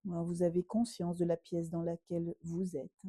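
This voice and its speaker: A woman yoga teacher leading a guided relaxation meditation in a gentle, unhurried, instructing way with pauses. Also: fairly low pitch, completely flat delivery, no echo, studio quality.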